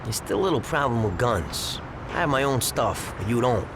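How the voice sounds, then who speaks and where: A man's voice: medium pitch, animated, normal speed, lightly acted. A man playing a blunt, streetwise gangster who schemes and gives instructions in a video game cutscene. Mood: businesslike scheming. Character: scheming, brisk gangster planning a robbery. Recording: noisy street ambience with vehicles.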